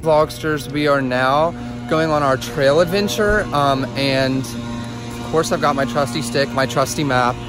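A man's voice: fairly high pitch, steady tone, a little slow, music in the background. A young man in his twenties narrating a casual camping vlog in a playful, mock-salesy way, filming himself on a handheld phone outdoors in the woods. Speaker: vlogger. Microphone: phone held at arm's length.

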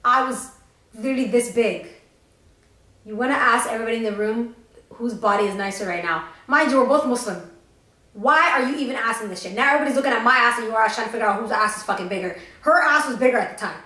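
A young woman co-hosting a podcast, telling a story fast and with lots of swearing while doing her makeup on a couch. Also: a little high, roomy sound, poor audio. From Tennessee. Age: young adult. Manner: fast, profane, animated storytelling. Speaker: podcast co-host. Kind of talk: casual storytime chat. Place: living room, on a green couch.

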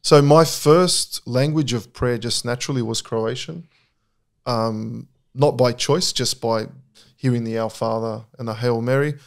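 A middle-aged man talks as a guest in a casual podcast conversation. His pitch sits in an ordinary middle range. He speaks candidly and reflectively, often restarting his sentences. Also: unhurried, creaky.

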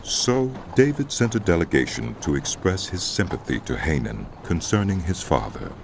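A man narrating a dramatized scripture audiobook in a solemn, deliberate storytelling style. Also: deep voice, very animated, background noise. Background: music.

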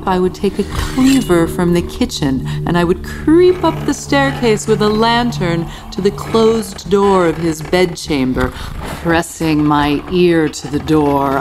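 A slow-talking, unhinged genteel murderess. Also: female voice, on the low side, background noise. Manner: theatrical, deliberate, repeating words for emphasis. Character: obsessively tidy, unhinged murderous housekeeper, a genteel lady. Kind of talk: dramatic Gothic radio-play monologue.

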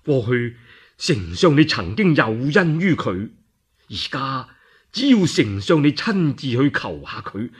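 A Cantonese-speaking man voicing a shrewd adviser counselling his lord in a radio story, deliberate and respectful. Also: mid-range voice, big pitch swings, slightly rough, pitched below the performer's own voice. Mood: earnest counsel.

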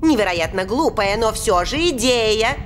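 A woman voicing a brisk, bossy, self-assured fairy nanny in a cartoon dub, expressive and matter-of-fact. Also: a little high, faint music behind. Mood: brisk, confident.

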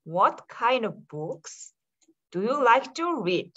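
A woman hosting a club meeting over a video call, posing questions and repeating them in an unhurried way. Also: fairly high pitch, sing-song, fairly bright tone, dull audio. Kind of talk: meeting moderation.

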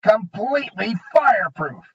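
A man reading an audiobook, voicing a sneering, bullying male goblin gang leader with high, snarling taunts. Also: very animated, a little slow, slightly rough, heavily acted. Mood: menacing. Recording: dull lo-fi recording.

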